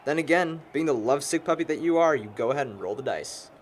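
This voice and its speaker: A man's voice: a little high, animated, fast, a little hiss or hum, natural voice. Man acting a wordy young American know-it-all. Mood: explaining. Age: young adult. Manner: long-winded, dryly sarcastic. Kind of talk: conversational audio drama acting.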